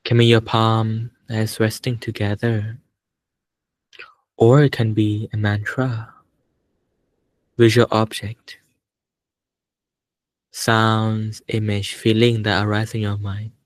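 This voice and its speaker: A man leading a guided meditation as a teacher, speaking calmly and without hurry, in short phrases with long pauses. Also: lowish voice, no room sound.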